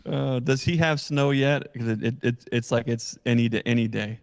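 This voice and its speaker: A man with an average-pitched voice is hosting a livestream. He chats casually with his guests, as one would among friends. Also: normal speed, vocal fry, dry recording, clean studio sound. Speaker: host of a beekeeping livestream. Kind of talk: casual chat.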